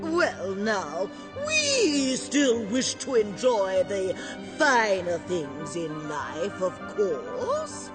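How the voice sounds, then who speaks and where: A woman voicing a gluttonous, regal cow queen in an animated fantasy game, grandiose and pompous. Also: medium pitch, drawn-out words, heavily acted. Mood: stately. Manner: grandiose, royal "we". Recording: music behind the voice.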